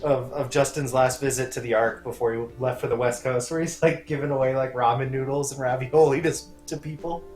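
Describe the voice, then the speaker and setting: A man's voice: mid-range voice, steady tone, fairly quick, hardly any pauses, a little mellow. A man chatting casually as a companion on a game stream. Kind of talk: casual chat. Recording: roomy echo, game music behind.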